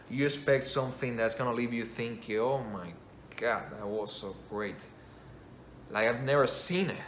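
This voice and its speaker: A man's voice: mid-range voice, a little hiss or hum. Rambling man, non-native English speaker. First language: not English. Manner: rambling, self-correcting, enthusiastic. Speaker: game commentator. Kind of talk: casual spoken review. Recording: echoey room, faint ambience.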